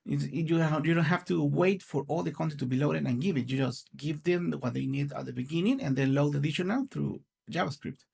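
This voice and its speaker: Male conference presenter, Spanish native, raspy fry, slightly high pitch, earnest and a little halting. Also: darkish tone. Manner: earnest, explanatory, searching for words. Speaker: conference speaker.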